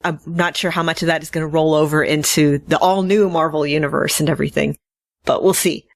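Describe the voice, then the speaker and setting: A woman's voice: low voice, fairly even intonation, a little fast, no echo. A woman co-hosting a fan podcast, chatting casually and enthusiastically, with wry asides and digressions. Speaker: podcast co-host. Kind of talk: casual fan news chat.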